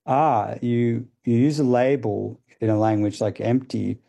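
A man explaining ideas as a radio guest, thoughtful and hesitant, with many pauses and fillers. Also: medium pitch, fairly even intonation, creaky, mellow. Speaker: guest expert.

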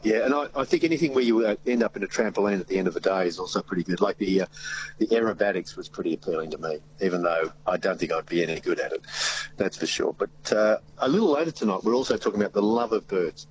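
A man's voice: low-pitched, fast, a little hiss or hum, rough recording. A man, an easygoing radio host chatting about his upcoming show. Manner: upbeat, easygoing, with fillers. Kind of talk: casual radio chat, promoting his show.

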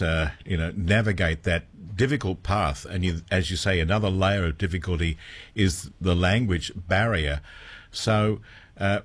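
An Australian man hosting a local breakfast radio show, interviewing a guest in a relaxed, slightly halting way. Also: low-pitched, slightly rough. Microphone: studio microphone.

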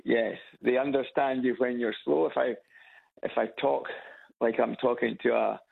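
Edinburgh Scottish male caller, husky voice, halting, thin phone line. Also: medium pitch, steady tone. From Scotland. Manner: hesitant, repeats words, chuckles at own stories. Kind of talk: casual phone chat. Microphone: phone on loudspeaker.

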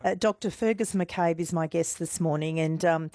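A radio host with a very low voice, speaking calmly and gently as she sums up events for her listeners. Her voice sounds dry and close to the mic. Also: moderate pace, no room sound. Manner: calm, gentle, recapping for listeners. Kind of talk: radio interview.

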